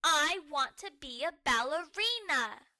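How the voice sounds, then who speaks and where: A woman acts out a stubborn toddler puppy girl from a cartoon storybook. She is bossy and insistent, with a high, piercingly bright voice that demands attention. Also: lively intonation, takes it slowly, exaggerated voice. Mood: demanding. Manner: loud, demanding, whiny insistence.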